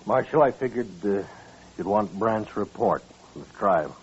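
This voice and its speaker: Blustering, husky hot-headed western townsman. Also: fairly low pitch, moderate pace. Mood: polite. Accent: American. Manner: blustering, excitable. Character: hot-headed, indignant Old West townsman bent on vengeance. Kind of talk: 1950s radio western acting. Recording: muffled old-time radio recording.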